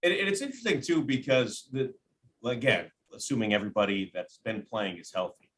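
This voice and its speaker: A man who works as a sports announcer, giving conversational analysis as a podcast guest in a measured, explanatory way. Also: medium pitch, lively intonation, a bit bright.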